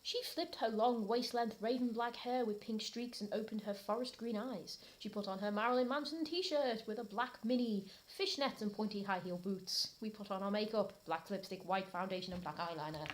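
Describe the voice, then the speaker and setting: A British woman with a theatrical, animated way of talking, hosting a mocking dramatic reading of fanfiction. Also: medium pitch, moderate pace, few pauses, rough recording. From Britain. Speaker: host reading fanfiction aloud.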